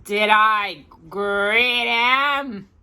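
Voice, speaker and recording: A woman's voice: mid-range voice, extremely slow, sharp tone, clean tone, exaggerated voice. A woman voicing an eager, plucky young boy in a storybook reading. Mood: puzzled.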